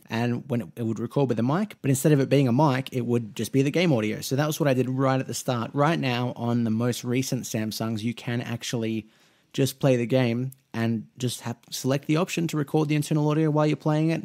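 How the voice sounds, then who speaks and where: A man's voice: medium pitch, a little fast, darkish tone. Australian man, twenties, casual gaming vlog, rambling, chatty. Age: 20s. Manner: rambling, with frequent restarts and fillers; easygoing, chatty. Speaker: mobile gaming YouTuber.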